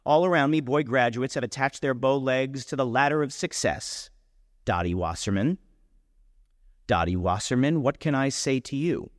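A man's voice: mid-range voice, normal speed, clean studio sound, lightly acted. Man acting pompous wounded young man, hall reverb. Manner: pompous, oratorical, indignant. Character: self-righteous, wounded young man declaiming his letter to a girlfriend.

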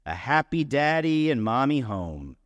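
A man's voice: medium pitch, sing-song, measured pace, lo-fi sound. Man acting pompous wounded young man, hall reverb. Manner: pompous, oratorical, indignant. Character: self-righteous, wounded young man declaiming his letter to a girlfriend.

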